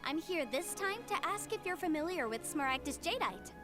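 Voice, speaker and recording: A woman's voice: very high voice, animated, brisk, over music. A woman playing a confident young legal adviser in a video game, formal, articulate and polite. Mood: polite, businesslike. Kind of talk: scripted game dialogue.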